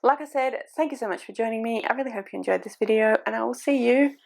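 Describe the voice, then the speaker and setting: A woman's voice: fairly high pitch, fast talker, creaky. A woman in her forties chatting casually to camera as a book vlogger, thinking aloud and poking fun at herself. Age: 40s.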